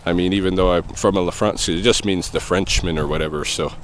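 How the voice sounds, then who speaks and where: A man talking casually to camera while out on an evening walk, thinking aloud in a loose, rambling way with pauses and soft chuckles. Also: low voice, steady tone, a little hiss or hum. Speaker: vlogger answering a viewer question. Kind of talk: casual walking vlog. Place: outdoors on an evening walk near traffic.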